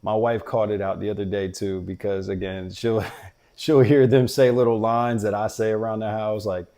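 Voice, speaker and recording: Male host, deep mellow voice, earnest heart-to-heart delivery aimed at fathers. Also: fairly even intonation, normal speed. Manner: earnest, reflective, talks directly to fathers. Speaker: podcast host. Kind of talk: casual heartfelt monologue.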